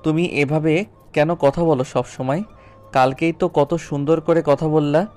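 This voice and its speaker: অডিও গল্পে একজন পুরুষ অভিনেতা এক তরুণ স্কুলশিক্ষক স্বামীর চরিত্রে, আন্তরিক ও অনুনয়ের সুরে প্রমিত বাংলায় কথা বলছেন।. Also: male voice, on the high side, steady tone, a little slow, warm tone, natural voice. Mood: puzzled, questioning. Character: earnest young schoolteacher husband, apologetic and pleading. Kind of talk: audio story drama acting. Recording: background music under voice. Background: music.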